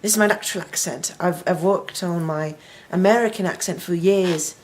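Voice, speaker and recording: A man's voice: high voice, normal speed, slight background noise. A teenage boy chatting playfully in a put-on British accent, joking his way through a mock interview. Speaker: co-host.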